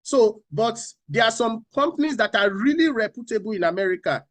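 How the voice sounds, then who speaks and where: A man's voice: high voice, animated, a little fast, dry recording. A man from Nigeria, speaking as a finance panelist on a talk show, repeating key phrases and asking rhetorical questions.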